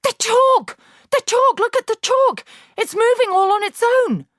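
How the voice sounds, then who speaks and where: A woman's voice: extremely high pitch, expressive, unhurried, fairly bright tone, no echo, clean studio sound, pitched above the performer's own voice, exaggerated voice. A woman voicing a young schoolboy screaming hysterically.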